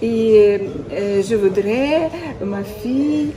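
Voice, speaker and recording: Ukrainian lady, super slow broken french, lots of "euh", people chatting behind. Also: a little high, crowd noise. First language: Ukrainian or Russian. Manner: very halting, many filler sounds, simple phrasing. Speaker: interviewed mother.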